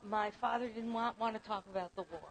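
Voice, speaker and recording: A woman with a fairly high voice speaks earnestly and reflectively as an exhibiting artist. She is recorded indoors in a museum gallery, with faint noise in the background. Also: fairly even intonation, fast, low-quality recording. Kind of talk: describing family photographs.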